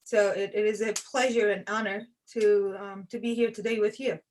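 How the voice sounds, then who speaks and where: A woman from Turkey speaking as a panelist in a measured, thoughtful way. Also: mid-range voice, little pitch movement, darkish tone, poor audio. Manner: measured, thoughtful with some um fillers. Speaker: panelist, immigration attorney. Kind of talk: panel discussion.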